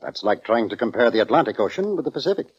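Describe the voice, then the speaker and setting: Husky, pompous maestro. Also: male voice, medium pitch, big pitch swings, rapid-fire. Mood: proud, lofty. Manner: pompous, formal, theatrical. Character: pompous, self-important male orchestra conductor, easily affronted. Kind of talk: 1940s radio acting. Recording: dull vintage radio recording.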